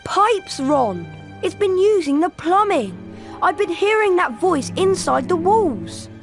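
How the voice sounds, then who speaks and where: A woman's voice: very high-pitched, very animated, takes it slowly, over music, heavily acted. A woman voicing an earnest, determined schoolboy wizard of about twelve in a video game. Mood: urgent discovery.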